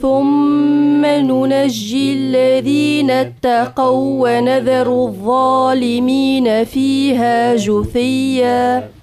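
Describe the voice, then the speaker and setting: High-voiced woman chanting verses slowly. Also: flat delivery, over music. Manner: repeats verses after the teacher in slow chant. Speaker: student. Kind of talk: melodic Quran recitation.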